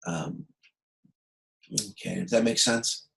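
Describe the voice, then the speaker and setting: A man giving an online lecture as an instructor, explaining problems step by step in a halting way and often checking that he is understood. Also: lowish voice, vocal fry. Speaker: university instructor. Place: video conference call.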